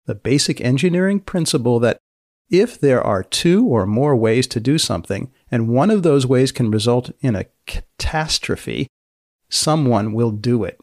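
A man hosting a podcast, reading scripted narration in a measured, deliberate, dryly deadpan way. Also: medium pitch, dry recording, studio quality. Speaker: podcast host.

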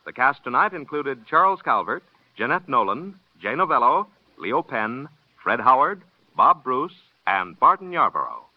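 A man's voice: mid-range voice, big pitch swings, moderate pace. An American man reading scripted closing credits as a radio network announcer in a vintage broadcast style. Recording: dull old radio recording, music behind.

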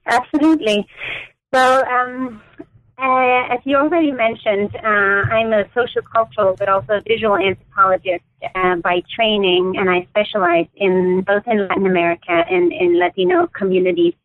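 A woman in her forties, raised in Denmark, speaking as an academic guest in an interview, choosing her words with many pauses and restarts. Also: medium pitch, dry recording, narrow, tinny audio. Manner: thoughtful, many fillers and restarts. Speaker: guest author, anthropologist.

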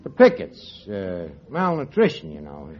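A scheming wisecracking tavern manager. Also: male voice, lowish voice, wildly swooping pitch, measured pace, a little hiss or hum. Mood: mock-sad. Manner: wisecracking, fake sincerity, ungrammatical. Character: fast-talking scheming tavern manager, middle-aged man. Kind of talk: 1940s radio comedy acting. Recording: dull old radio broadcast, faint audience laughter.

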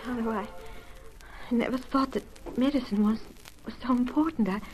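An actress playing a young rancher's daughter, stubborn but frail, speaking haltingly in an old radio western. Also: female voice, a little high, darkish tone, a little hiss or hum, close to an everyday voice, poor audio. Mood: weak, confessing. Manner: hesitant, halting, repeating words.

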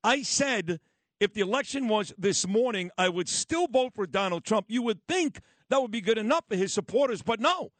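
Brooklyn guy hosting morning radio, kinda high voice, really emphatic, dry studio sound. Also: lively intonation, fairly bright tone, no room sound. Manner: emphatic, enthusiastic, fast-talking. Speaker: radio morning show host. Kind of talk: radio talk show.

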